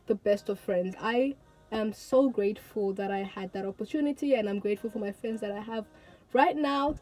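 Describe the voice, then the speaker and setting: A woman's voice: on the high side, mellow, rough recording. A young woman of eighteen from Tanzania, vlogging to her viewers in an earnest, chatty way and repeating words for emphasis. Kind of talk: casual talk to camera.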